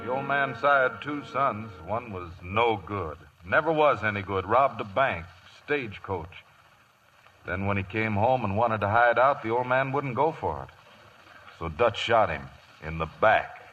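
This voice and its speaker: An actor playing a plain-spoken, loyal cowboy sidekick with a drawl in an old radio drama. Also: male voice, lowish voice, animated, measured pace, a bit bright, breathy edge, lo-fi sound, full character voice. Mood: solemn. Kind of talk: 1950s radio drama acting.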